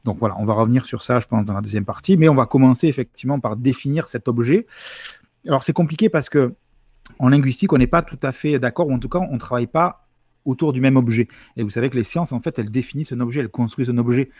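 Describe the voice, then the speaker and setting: A brisk, quick-spoken man lectures in an easy, explanatory way over a video call. He works in lots of asides, and the sound is close and dry with no room around it. His voice sits at a middling pitch. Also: no room sound. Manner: lively, explanatory, fluent with asides. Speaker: university linguistics lecturer, guest. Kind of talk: explaining in a livestream interview.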